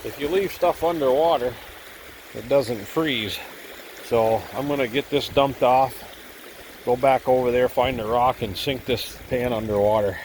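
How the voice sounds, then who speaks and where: A man's voice: mid-range voice, unhurried, slight background noise. A man with a head cold talking casually and rambling a little as he vlogs outdoors by a cold stream. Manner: easygoing, rambling, thinking aloud. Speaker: gold prospecting vlogger. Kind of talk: casual outdoor vlog narration.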